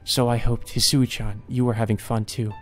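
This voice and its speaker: A man voicing a gentle young maid in a visual novel, speaking softly with wistful resignation. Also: medium pitch, level tone, normal speed, soft background music, natural voice. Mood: wistful, fading.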